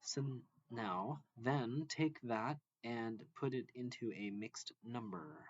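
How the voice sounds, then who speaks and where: Very slow-reading man, dull dry recording. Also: mid-range voice, no room sound. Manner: deliberate, step-by-step, phrase-by-phrase delivery. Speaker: math teacher reading a textbook aloud. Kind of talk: slow read-along lesson narration.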